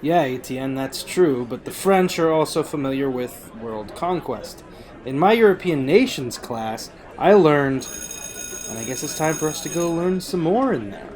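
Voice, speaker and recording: A man playing a bright, joking high school boy with a casual, quippy manner in an audio drama. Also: lively intonation, a little slow. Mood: knowing.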